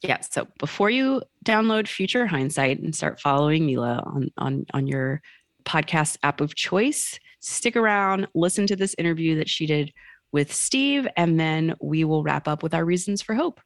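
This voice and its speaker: A woman's voice: sits low, lively intonation, moderate pace, no room sound, studio quality. A woman co-hosting a podcast, guiding the show along in a conversational style. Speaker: podcast co-host.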